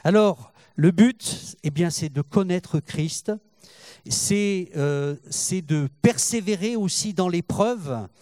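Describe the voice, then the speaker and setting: An older man preaching a sermon in French, halting and repeating words, rising in fervor as he goes. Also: high voice, darkish tone, no echo. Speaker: pastor.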